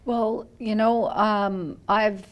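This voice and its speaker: Female interviewer, older, Canadian accent. Unhurried pace, informal conversational style. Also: medium pitch, fairly even intonation. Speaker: interviewer, host.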